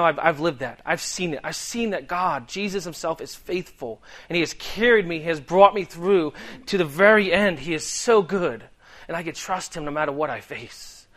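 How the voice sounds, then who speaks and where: A man's voice: a little high, a bit bright. Boston-accented young preacher man. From Delaware, US. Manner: earnest, conversational with many ums. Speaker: guest preacher. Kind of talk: sermon.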